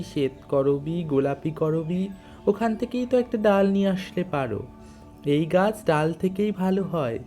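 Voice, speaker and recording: Narrator as helpful local nursery worker, raised pitch. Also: dark tone, lightly acted. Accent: North Bengal (Jalpaiguri). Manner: colloquial, advising. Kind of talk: audio story character.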